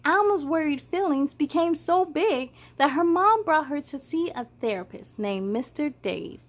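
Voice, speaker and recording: A woman hosting a children's read-aloud, expressive and playful, speaking straight to young listeners. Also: high voice, measured pace.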